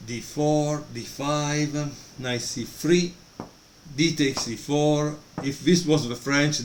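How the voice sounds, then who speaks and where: Hesitant man, echoey room. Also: mid-range voice. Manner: hesitant, many fillers. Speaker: book reviewer. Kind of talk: casual video review. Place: room at home, storm outside. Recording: echoey room with faint noise.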